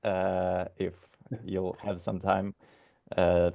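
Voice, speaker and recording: Slow-talking, somewhat low-voiced man from Ukraine, halting moderator of a video call. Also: monotone, gravelly, phone-quality sound. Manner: hesitant, many "uh" and restarts.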